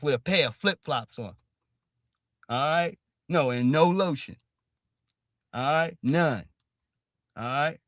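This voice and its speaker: A man's voice: on the high side, fairly bright tone, no echo, studio quality. A man hosting a stream, ranting in emphatic commentary and repeating "all right?" after nearly every phrase. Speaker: streamer host.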